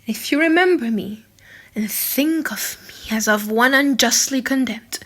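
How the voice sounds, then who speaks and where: Lady doing a condemned young servant girl from an audiobook, high bright voice, pious, wretched, pleading. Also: unhurried. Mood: resigned, sorrowful. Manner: sobbing, pleading, breathy outbursts. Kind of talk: dramatic audiobook character acting.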